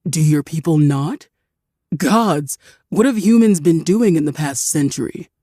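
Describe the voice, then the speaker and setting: A woman voicing an amused, easygoing male demon who teases dryly, a little lower and louder than her narration. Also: expressive, measured pace, dry recording. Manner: laid-back, dry teasing.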